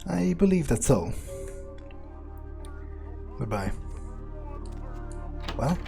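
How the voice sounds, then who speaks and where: A man doing in-character roleplay commentary over a video game, thinking aloud in a sardonic, amused way with halting phrasing. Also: medium pitch, very animated, vocal fry, dark tone, soft background music. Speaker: gamer playing in character.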